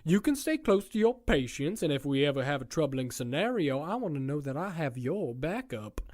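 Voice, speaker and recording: A man's voice: on the high side, big pitch swings, normal speed, a little mellow, no room sound, clean studio sound. A man playing a smug, sleazy prison warden in his forties in an audio drama, with a glib, folksy, theatrical way of talking. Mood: smooth persuasion. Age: 40s. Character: smug, sleazy forty-year-old male prison warden, wheedling charmer.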